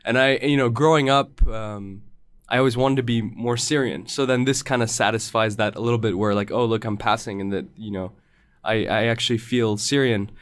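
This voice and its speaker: A man who grew up in the United States, a podcast guest speaking thoughtfully in casual conversation, with halting restarts. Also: mid-range voice, steady tone.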